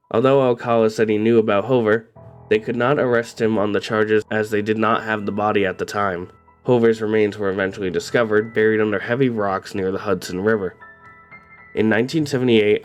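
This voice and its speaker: A man hosting and narrating a true crime story in a somber, steady way, his voice slightly raspy from a cold. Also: fairly low pitch. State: coming down with a cold. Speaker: host.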